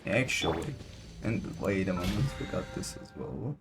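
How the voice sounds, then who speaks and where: A man's voice: low voice, animated, normal speed, creaky. A man giving casual gameplay commentary, thinking aloud and often exclaiming. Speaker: gaming streamer. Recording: game music behind voice. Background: game music.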